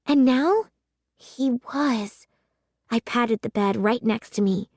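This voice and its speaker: Slow, higher-voiced woman reading a children's story. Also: no room sound, clean studio sound. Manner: deliberate, expressive. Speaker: read-aloud narrator. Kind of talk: expressive children's story narration.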